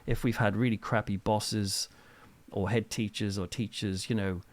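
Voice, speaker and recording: A middle-aged British man chatting casually as an interview guest, telling anecdotes in an informal, animated way. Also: lowish voice, moderate pace, no echo. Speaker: interview guest, author and reverend.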